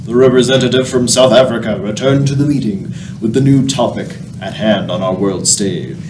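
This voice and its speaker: A man's voice: on the low side, normal speed. A man narrating a scripted radio play. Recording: echoey, distant, noisy.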